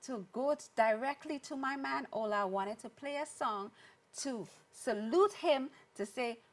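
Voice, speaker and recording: A woman's voice: sits high, measured pace. Chatty Trinidadian woman hosting a talk show. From Trinidad and Tobago. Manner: chatty, playful and upbeat. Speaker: host. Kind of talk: casual talk-show chat.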